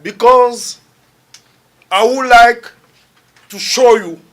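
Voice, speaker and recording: Slow emphatic Congolese lecturer, French-accented man. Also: extremely high pitch. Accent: French-speaking Central African. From Republic of the Congo. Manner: slow, emphatic, rising to loud declarations. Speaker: guest lecturer. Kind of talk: public lecture.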